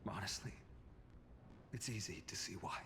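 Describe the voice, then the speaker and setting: An actor playing a composed, thoughtful hero who explains things calmly and plainly in game dialogue. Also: male voice, on the low side, moderate pace, creaky. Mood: calm, reflective. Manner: calm, matter-of-fact explaining.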